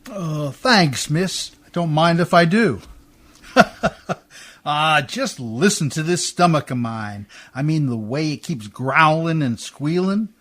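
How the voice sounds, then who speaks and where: Man playing a high-voiced, folksy riverboat pilot. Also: animated, takes it slowly, fairly bright tone, heavily acted. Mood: sheepish amusement. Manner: folksy, ungrammatical, chuckling. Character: uncouth, good-natured Canadian riverboat pilot, a man. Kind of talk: amateur radio play acting in old Hollywood style.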